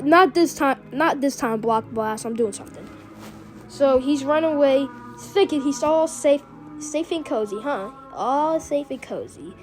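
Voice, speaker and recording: Female reaction host, high voice, casual rambling slangy commentary, music underneath. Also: a little mellow. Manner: informal slangy, rambling recap.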